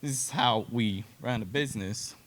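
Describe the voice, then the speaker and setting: A man from Japan with a Japanese accent gives an informal educational presentation in halting, simple non-native English. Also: medium pitch. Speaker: cattle genetics specialist, presenter.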